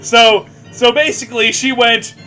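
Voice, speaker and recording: High-pitched, bright-voiced American man, theatrically sarcastic. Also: fairly even intonation, a little slow, soft background music. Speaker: co-host reading fan fiction aloud. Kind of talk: mocking read-aloud with casual banter.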